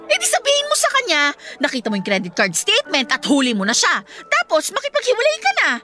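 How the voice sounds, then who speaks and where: A woman's voice: extremely high pitch, huge pitch swings, fast, full character voice. A woman with a Filipino accent playing a blunt, exasperated best friend in a radio drama, scolding and emphatic. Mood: urgent, blunt. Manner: scolding, emphatic, straight-talking. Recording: music playing behind the dialogue.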